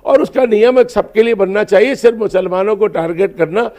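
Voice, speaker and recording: A man's voice: high-pitched, fairly quick, a little mellow. A man giving an explanatory interview statement as a spokesman, often repeating his phrases. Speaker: religious organisation spokesman.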